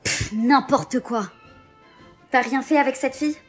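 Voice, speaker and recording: A woman's voice: high voice, measured pace, soft background music. A woman acting a jealous, insistent young lover in a short scripted relationship drama. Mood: suspicious, cutting.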